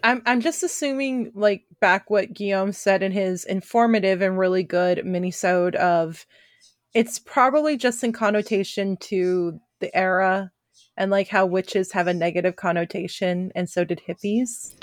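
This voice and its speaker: A woman's voice: medium pitch, moderate pace, no room sound. Giggly woman with a dry close sound. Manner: giggly, playful, jokey. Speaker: podcast co-host. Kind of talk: casual podcast chat.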